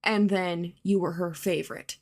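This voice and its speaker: A woman's voice: fairly low pitch, fairly even intonation, normal speed, a little mellow. A woman giving prepared fan commentary as a listener's voice message.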